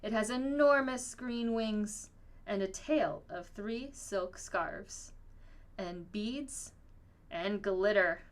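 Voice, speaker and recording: Slow, unhurried woman reading a storybook. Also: mid-range voice. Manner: expressive, acts out dialogue. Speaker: storybook reader. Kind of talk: children's picture book read-aloud.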